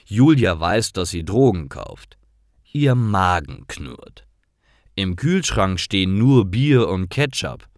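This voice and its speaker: A man reading simple scripted stories aloud in a clear, deliberate manner, as a storyteller for language learners. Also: low voice, big pitch swings, no echo, studio quality.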